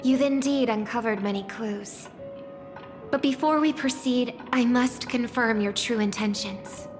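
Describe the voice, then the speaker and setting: An actress voicing a poised, graceful young singer in a video game, speaking in a measured, formal and polite way. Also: female voice, on the high side, steady tone, natural voice. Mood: composed, guarded. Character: poised, graceful young female singer, gentle but discerning. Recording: music behind the voice.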